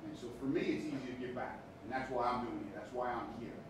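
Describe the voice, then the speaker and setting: A man's voice: medium pitch, fast talker. Man, 50s, Queens New York accent, conversational classroom talk, distant echoey recording with room noise. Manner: conversational, keeps checking in with "all right?" and "okay?". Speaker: guest speaker, engineer. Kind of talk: informal talk to students. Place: large classroom, indoors. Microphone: far room camera. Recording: echoey classroom from far off, noisy.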